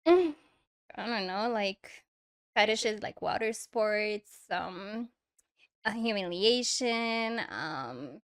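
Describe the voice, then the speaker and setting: A young woman from Morocco, a native Arabic speaker with an accent, chatting as a podcast guest in a hesitant, soft manner full of fillers and small laughs. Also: mid-range voice, expressive, slow pace. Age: young adult. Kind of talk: casual interview chat. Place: small indoor studio, on a couch. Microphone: lavalier mic.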